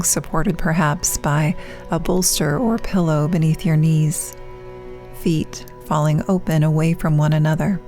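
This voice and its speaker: A woman leading a guided meditation as a yoga nidra teacher, speaking in hushed, soft phrases with long pauses, turning breathy at phrase ends. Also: deep voice. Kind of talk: guided meditation, soothing instruction.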